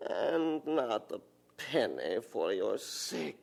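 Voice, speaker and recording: A man's voice: mid-range voice, sing-song, slow pace, vocal fry, heavily acted. An actor playing a self-pitying, worldly old father with a German accent, wheedling and plaintive in a radio drama.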